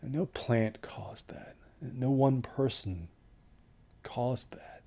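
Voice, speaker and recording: A man playing a shaken, weary survivor of a desert hike in an audio drama, recalling it haltingly and in hushed tones. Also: medium pitch. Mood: weary, reflective. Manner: halting, hushed, trailing into near-whispers. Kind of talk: audio drama monologue, first-person recollection.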